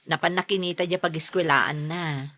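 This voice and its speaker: A woman's voice: low-pitched, fairly even intonation, moderate pace, bright, forceful tone, no echo. A woman with a Filipino Ilocano accent playing a patient, long-suffering middle-aged mother in a radio serial, pleading and soothing. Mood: caring. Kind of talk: radio serial drama acting.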